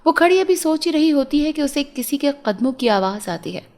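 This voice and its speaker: Woman, high voice, storyteller, scripted fairy tale narration. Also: lively intonation, moderate pace, a little mellow.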